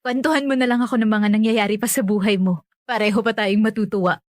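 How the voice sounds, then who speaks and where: A woman's voice: mid-range voice, steady tone, quick pace, dry recording, clean studio sound, natural voice. A young woman playing a resigned, dutiful family caretaker in natural radio drama dialogue, self-effacing and accommodating.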